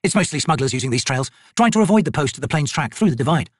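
A man voicing a tough, brisk woman corporal in an audiobook, blunt and teasing in manner. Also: on the high side, animated, slightly rough, dry recording, studio quality, voice pushed up. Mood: brisk, wry. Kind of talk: audiobook character voice.

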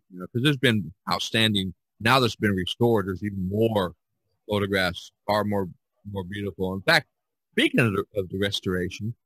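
A man hosting a podcast, talking casually about art in a rambling, halting way with frequent restarts. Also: on the low side, fairly bright tone, slightly rough, no echo, poor audio. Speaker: podcast host discussing art. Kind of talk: casual conversational discussion.

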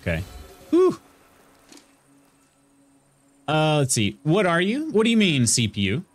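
A man's voice: a little high, huge pitch swings, a little slow, soft background music. A man in his thirties with an Indiana Midwestern accent, chatting casually while playing and reading chat. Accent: Midwestern American, Indiana. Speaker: streamer. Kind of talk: casual gameplay commentary and chat. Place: small room at home, indoors. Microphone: studio mic on arm near face.

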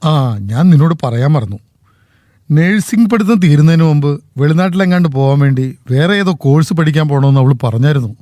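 A man as a proud old farmer, Kerala accent, mellow dark tone, steady fatherly speech, radio play. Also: on the high side, fairly quick, no echo, clean studio sound, natural voice. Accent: Kerala Malayalam. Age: older. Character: proud aging farmer devoted to his land. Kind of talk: radio drama acting.

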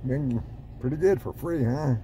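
An older man giving unhurried, mumbling commentary from inside his car in a car wash, easily amused. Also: medium pitch. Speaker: vlogger. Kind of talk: casual running commentary. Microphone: phone or camera on the dashboard. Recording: loud car wash noise behind.

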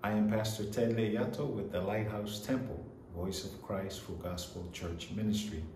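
Low-voiced pastor in an echoey room. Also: male voice, steady tone, normal speed, a little mellow. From Samoa. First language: Samoan. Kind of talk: bilingual online church service address and sermon. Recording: roomy echo with faint background noise.

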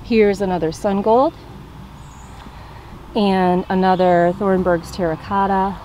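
A woman's voice: mid-range voice, fairly even intonation, slow, warm tone, a little hiss or hum, poor audio. A young woman casually walking through her backyard vegetable garden outdoors, chatting as she points out plants to a handheld camera. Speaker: gardening vlogger.

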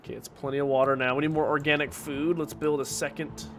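A man's voice: on the high side, level tone, fast, a bit bright, slight background noise. A young man with a headset giving casual commentary on a city-building game he plays, sniffling a little from a cold. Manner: chatty, talks to himself while building. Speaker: gaming streamer. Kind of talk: casual let's-play commentary. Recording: game music playing behind.

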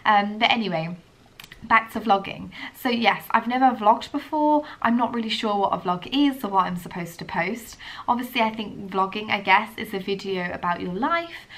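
A woman's voice: mid-range voice, animated, normal speed, a bit bright. Young woman, stuffy nose, casual vlog chat, living room, camera mic. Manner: chatty, a little unsure, many "um"s. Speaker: vlogger, young mum. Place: living room at home, indoors. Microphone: camera on a table in front of her.

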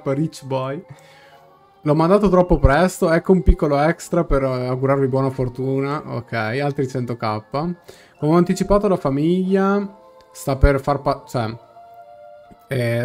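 A young Italian man, a game streamer, casually reacting and translating dialogue aloud in a halting way, often rephrasing. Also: a little high, darkish tone. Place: small indoor room. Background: faint game music.

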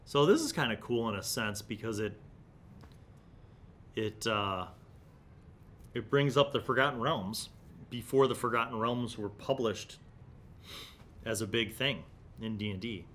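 Middle-aged man, rumbly background noise. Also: a little slow, pauses often, a bit bright. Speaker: host of a tabletop gaming review series. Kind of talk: casual review commentary, reading magazine excerpts aloud. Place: small indoor studio room. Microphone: desk microphone in front of him.

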